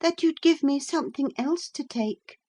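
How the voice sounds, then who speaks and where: A woman's voice: sits high, normal speed, a little mellow, pitched above the performer's own voice, close to an everyday voice. A woman reading aloud, voicing a young princess disguised as a beggar maid, eager and pleading.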